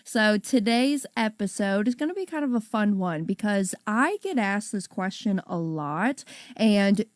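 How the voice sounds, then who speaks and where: A woman's voice: medium pitch, lively intonation, measured pace, no echo, clean studio sound. A woman hosting a podcast, introducing her guest in a friendly, upbeat way. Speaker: podcast host.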